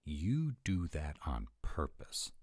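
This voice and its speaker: A man playing a gruff, grumbling tsundere were-dragon who is secretly soft, in an audio roleplay addressed to the listener. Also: rumbling low, huge pitch swings, slow, no room sound, clean studio sound, heavily acted. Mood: reluctant, exasperated. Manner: grumbling, exasperated, softening into boyish eagerness.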